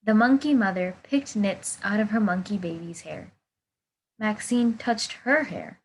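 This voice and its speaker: A woman's voice: medium pitch, measured pace, slight background noise, poor audio. A woman volunteer reading a children's picture book aloud over a video call. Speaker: volunteer storybook reader. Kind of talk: children's storybook read-aloud.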